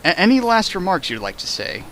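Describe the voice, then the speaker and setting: A man's voice: sits high, big pitch swings, a little fast, a bit bright. A man hosting a casual critical commentary, speaking emphatically and jokily and repeating himself for effect. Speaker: host of a dramatic fan fiction reading. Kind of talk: casual critical commentary, partly read from a script.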